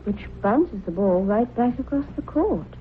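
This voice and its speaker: An actress playing a witty, wry reporter's wife in a vintage radio drama. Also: female voice, a little high, lively intonation, unhurried. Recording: noisy, narrow-band old radio recording.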